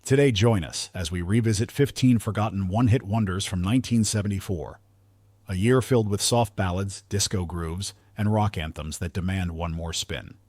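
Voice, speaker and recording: Low male voice, scripted countdown narration, polished storytelling delivery, measured, dry close-mic sound. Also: darkish tone, dry recording.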